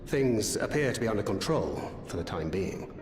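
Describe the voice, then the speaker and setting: An actor playing a sober, watchful comrade in a fantasy video game, with a formal, matter-of-fact delivery. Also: male voice, on the low side, sing-song, moderate pace, roomy sound, big-room sound, over music.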